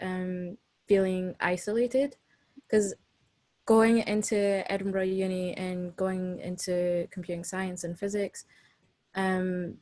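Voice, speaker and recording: A woman student from Zimbabwe speaking on a panel, soft-spoken and halting with many pauses. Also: mid-range voice. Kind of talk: panel discussion.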